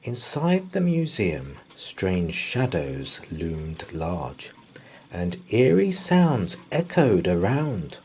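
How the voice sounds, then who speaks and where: Slow, animated man reading a bedtime story. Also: low voice, slight background noise. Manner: dramatic, rhythmic verse delivery. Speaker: storybook reader. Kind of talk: theatrical bedtime story read-aloud.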